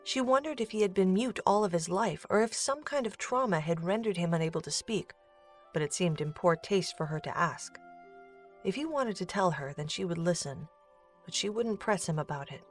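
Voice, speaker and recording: A woman's voice: lowish voice, expressive, normal speed, soft background music. A woman giving scripted narration for a storybook-style audio drama.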